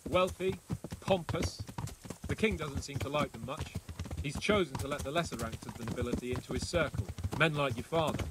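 A man's voice: medium pitch, brisk, heavy background noise, natural voice. An actor playing a witty, cocky young nobleman who chats wryly and talks a lot in a video game cutscene. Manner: breezy, wry, talkative. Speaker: game character. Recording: game audio over horse hooves. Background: horse hooves clip-clopping.

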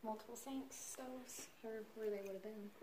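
A woman's voice: fairly high pitch, steady tone, moderate pace. A woman exploring an abandoned school with a companion, bluntly remarking on things with casual swearing. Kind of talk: casual chat. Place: inside an abandoned school building. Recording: distant, echoey, noisy camera audio.

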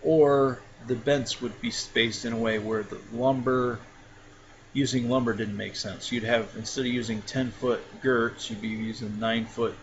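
A man in a relaxed screen-recorded tutorial, walking the listener through each step with easy fillers. His voice sits in a middle pitch and comes through a dull, lo-fi mic with steady noise behind it. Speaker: software tutorial instructor.

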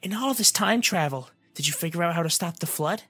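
Man putting on a squeaky, indignant little fairy. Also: expressive, fairly quick, bright, forceful tone, slightly rough, no echo, soft background music, full character voice. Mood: questioning. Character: small indignant female fairy companion, sour and pushy.

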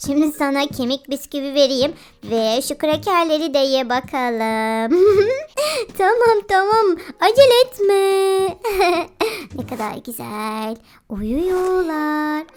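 A playful host of a children's game video with an exclamatory, giggly, cooing way of talking to cartoon animals. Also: very high voice. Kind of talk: playful children's gameplay commentary. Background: children's music.

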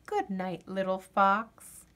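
A woman reading a children's picture book aloud as a storyteller, with gentle, expressive delivery and deliberate dramatic pauses. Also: medium pitch, a little hiss or hum.

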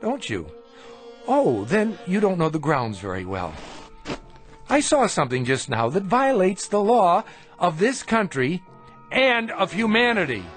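A man's voice: sits high, wildly swooping pitch, a little slow, exaggerated voice. An actor playing an ill man, weak with fever, who questions and accuses his hosts indignantly in a radio drama. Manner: probing, indignant, sharp questions. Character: ill, defiant male traveler confronting monks. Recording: radio play with music behind.

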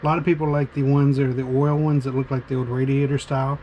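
A man's voice: mid-range voice, flat delivery, fast talker, highs cut off, slight background noise. A middle-aged man with a Southern US accent giving casual, plain-spoken RV camping tips, recorded on a handheld camera outdoors at a snowy campground and inside an RV. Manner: easygoing, plain-spoken, with frequent uh fillers. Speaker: RV vlogger.

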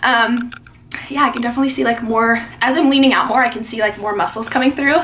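A young American woman vlogs in a casual, chatty way. Her voice sits a little high and she talks quickly, with effusive, slangy phrasing. There is some faint noise behind her. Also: fairly even intonation, a bit bright. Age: young adult. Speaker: vlogger. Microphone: camera at arm's length.

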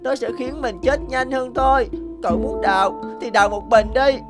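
Woman as timid cartoon goat, whining, defeatist, complaining, animated fable, music behind the voice. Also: sits high, normal speed, natural voice. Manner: whiny, complaining.

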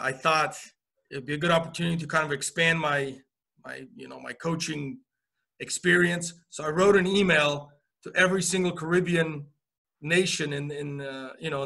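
A man in his forties, a college soccer coach from Romania, telling his career story on a video call with relaxed pacing and frequent fillers. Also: fairly high pitch, vocal fry. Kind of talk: video call interview answers. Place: indoor office.

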